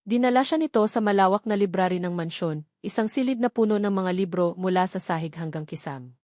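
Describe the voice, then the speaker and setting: A woman's voice: mid-range voice, brisk, a little mellow, dry recording, studio quality. A woman reading a scripted dramatic love story as its narrator.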